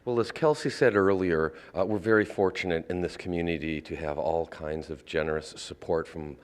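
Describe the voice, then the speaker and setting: Deep-voiced man in a reverberant space. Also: moderate pace. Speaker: theater representative being interviewed. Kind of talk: interview answers. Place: on location inside a community theater. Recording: long reverberant room sound.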